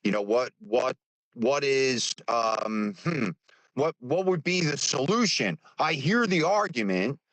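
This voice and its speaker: A man in his fifties talks in a plain, middle-pitched voice, stopping and repeating words as he gathers his thoughts. The sound is close and dry, with no room around it. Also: expressive, fairly bright tone, no echo, low-quality recording. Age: 50s. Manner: halting, repeats words, many fillers. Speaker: speaker on a live stream. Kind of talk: casual opinion talk.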